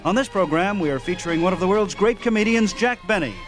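A man's voice: sits high, lively intonation, fast. A man hosting a radio program with formal, announcer-like scripted narration. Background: music.

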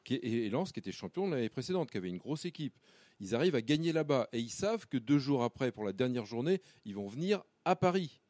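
Mid-pitched man in dry studio. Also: big pitch swings, fast talker, darkish tone, no room sound. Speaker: football commentator panelist. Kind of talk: casual analysis.

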